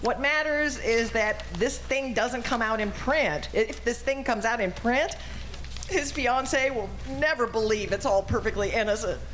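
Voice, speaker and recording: Earnest little lady played by a woman, fairly high voice, imploring and sincere in an old-time radio play. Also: unhurried, a bit bright, a little hiss or hum. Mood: pleading, earnest. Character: earnest, pleading, sweet young female babysitter.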